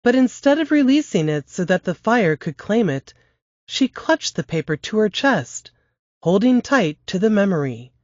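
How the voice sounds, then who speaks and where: A woman's voice: mid-range voice, sing-song, moderate pace, a little mellow, no echo, highs cut off. Woman reading a novel excerpt. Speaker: author reading her novel. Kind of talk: audiobook-style novel reading.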